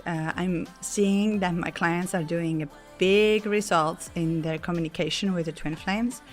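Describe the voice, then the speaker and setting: A woman with a hoarse, worn voice hosting a spiritual podcast in a casual, chatty way, apologizing now and then and slipping in small laughs. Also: mid-range voice. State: hoarse, lost voice. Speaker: podcast host.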